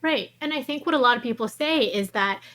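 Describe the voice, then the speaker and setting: A woman's voice: fairly high pitch, lively intonation, a little fast, bright, forceful tone. A woman speaking as an interview guest, explaining her subject in an articulate, conversational way.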